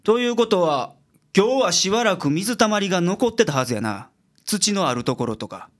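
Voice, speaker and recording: An actor playing a blunt, gruff male detective in a radio drama, brusque and authoritative, with a Kansai accent. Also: sits high, lively intonation, normal speed, a bit bright. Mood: sharp, deducing. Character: gruff, blunt male private detective, sharp-witted boss.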